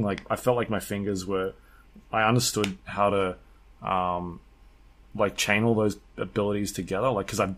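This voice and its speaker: A man's voice: lowish voice, a bit bright. A man talking casually as a podcast co-host, explaining his experience with video games in a conversational way. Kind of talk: casual unscripted chat.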